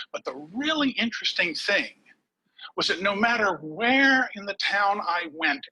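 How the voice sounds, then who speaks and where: A man giving a prepared contest speech over a webcam, with dramatic pauses and words dropped to a whisper for emphasis. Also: high-pitched, pushed and bright, husky, rough recording. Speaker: contest speaker. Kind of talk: prepared speech delivered online.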